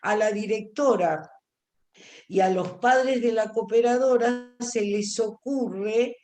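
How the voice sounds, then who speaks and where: A woman's voice: a little high, slow, music in the background. A woman teaching an online class, explaining at length and checking that students follow. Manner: explanatory, asks if understood.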